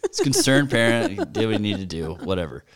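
A man's voice: on the low side, huge pitch swings, fast talker. A man in his thirties co-hosting a casual podcast, telling a story with plenty of swearing and acted-out quotes. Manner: storytelling, swears often, mimics voices in quotes. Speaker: podcast co-host. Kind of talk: casual comedic chat.

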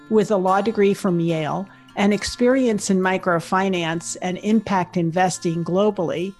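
A woman hosting a podcast, polished and upbeat as she introduces her guest and asks questions. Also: on the low side. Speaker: podcast host. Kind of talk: scripted intro then interview questions.

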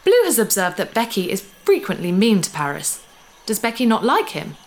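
A woman's voice: medium pitch, wildly swooping pitch, moderate pace, a little hiss or hum. A woman voicing an earnest, childlike female robot in an audio drama who talks about herself in the third person. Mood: curious. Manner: refers to herself in the third person, asks blunt questions. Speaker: ship's computer in a robot body.

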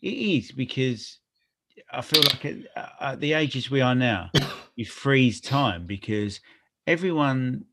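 A man's voice: medium pitch, animated, takes it slowly, fairly bright tone. A middle-aged man co-hosting a podcast, chatting casually in a wry, self-deprecating way and laughing at his own stories. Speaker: podcast co-host. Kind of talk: casual chat.

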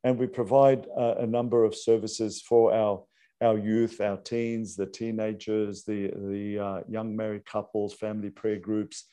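A priest, explaining unscripted with hesitant fillers and restarts. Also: male voice, lowish voice, level tone, measured pace, mellow, clear, resonant tone. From Australia.

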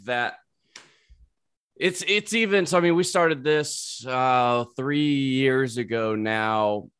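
A man's voice: mid-range voice, slow, fairly bright tone, clear, resonant tone, no room sound, studio quality. A man hosting a podcast in a wry, self-deprecating, casual way. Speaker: podcast host. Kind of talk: casual chat.

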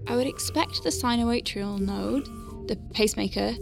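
High-voiced, nervy space medic. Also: female voice, normal speed, creaky, music in the background. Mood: focused. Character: young female space medic Faye, flustered but awestruck, working inside star whale. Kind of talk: audio drama acting with first-person narration.

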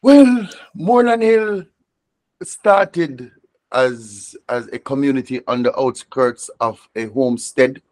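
A man's voice: mid-range voice, slow, darkish tone, no room sound. A Jamaican man, a reggae artist, talking reflectively in an interview, often restarting and repeating words as he gathers his thoughts. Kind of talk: conversational interview.

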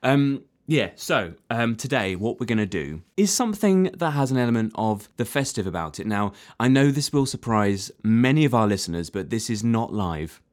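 A man's voice: on the low side, very animated, moderate pace, few pauses. A young man co-hosting a podcast, playfully leading the chat and laughing along while nursing a cold. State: has a cold. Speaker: podcast co-host. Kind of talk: casual chat.

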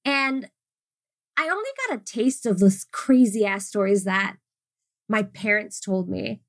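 A woman's voice: mid-range voice, takes it slowly, no echo, clean studio sound. A woman hosting a podcast, talking casually with fillers and laughing easily. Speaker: podcast host.

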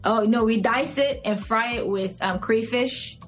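A woman's voice: on the high side, a little slow, faint music behind. A woman in her thirties from Cameroon with a Cameroonian English accent, chatting expressively as a podcast guest with frequent fillers. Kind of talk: podcast interview, casual chat.

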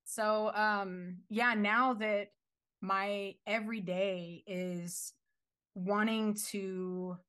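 A woman's voice: medium pitch, steady tone, extremely slow, a bit bright, smooth. A young woman telling her faith testimony in casual chat. She talks earnestly, laughs often and fills her speech with "like" and "you know". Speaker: guest sharing her faith story.

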